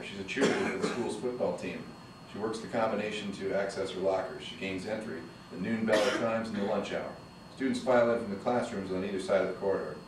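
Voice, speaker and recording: A man reading the stage directions aloud at a screenplay table read, brisk and matter-of-fact. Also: fairly low pitch, darkish tone. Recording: echoey room, distant mic, background noise.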